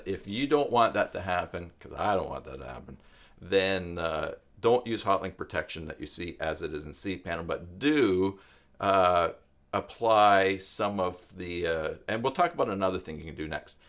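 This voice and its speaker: A man hosting an informal live stream tutorial, explaining things step by step in a halting way as he thinks aloud. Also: low-pitched, a bit bright. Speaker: live stream host and web tutorial presenter. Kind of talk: informal unscripted tutorial talk to viewers.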